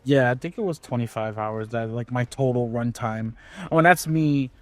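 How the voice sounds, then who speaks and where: Laid back guy on a podcast, mid pitch, dry close mic, lots of ums and restarts. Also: moderate pace, no room sound. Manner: easygoing, hesitant, many fillers and restarts. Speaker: podcast co-host. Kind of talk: casual chat.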